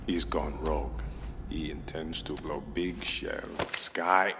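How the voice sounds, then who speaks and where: An actor playing a calm, undying vampiric henchman in a video game, speaking slowly and in a hush. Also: rumbling low. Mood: ominous calm. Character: undying vampiric terrorist henchman, calm, devoted to his queen. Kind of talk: dramatic video game voice acting. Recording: long reverb, loud noise behind.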